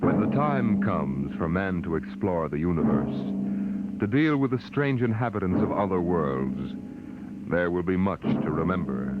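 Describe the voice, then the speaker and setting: Guy, old time radio narrator, low n dramatic, lots of swing, super noisy muffled recording. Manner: dramatic, portentous.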